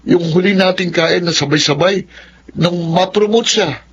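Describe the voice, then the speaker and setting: High-voiced, earnest young son. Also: male voice, normal speed. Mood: earnest, insistent. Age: 20s. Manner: earnest, questioning. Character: concerned, questioning twenty-five-year-old son. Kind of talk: dramatic Filipino radio drama acting. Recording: muffled, faintly noisy radio drama audio.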